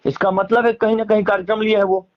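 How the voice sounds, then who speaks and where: A man's voice: sits high, little pitch movement, fast, lo-fi sound. A man with a Bihari accent speaking casually as an interviewee, emphatically airing grievances in colloquial, repetitive phrasing. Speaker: interviewee, singer and stage performer.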